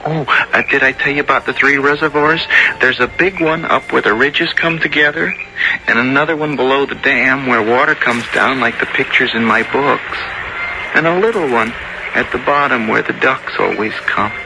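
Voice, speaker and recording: A man playing an earnest, sensitive sixteen-year-old American boy who loves nature, speaking haltingly and plainly in a radio drama. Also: mid-range voice, vocal fry, noisy background, lightly acted. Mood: reflective. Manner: halting, repeats words, plainspoken and boyish. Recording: old radio recording, music behind.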